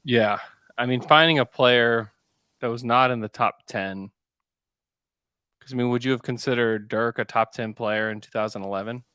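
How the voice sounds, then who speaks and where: A man's voice: mid-range voice, normal speed, sharp tone, clear, resonant tone, dry recording. A man hosting a sports podcast, chatting casually about basketball, thinking aloud and repeating points for emphasis.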